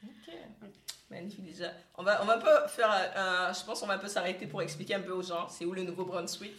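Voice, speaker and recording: A woman's voice: medium pitch, fast talker, a bit bright. A woman from Cameroon hosts a casual, joking group chat and slips into English. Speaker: podcast host. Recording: echoey room.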